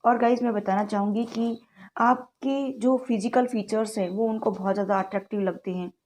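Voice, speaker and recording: A woman's voice: on the high side, steady tone, moderate pace, darkish tone, poor audio. A woman with a sore throat giving a chatty tarot reading, often addressing viewers as "guys" and mixing English words into her speech. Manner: mixes English words into Hindi. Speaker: tarot card reader. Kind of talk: direct-to-camera tarot reading.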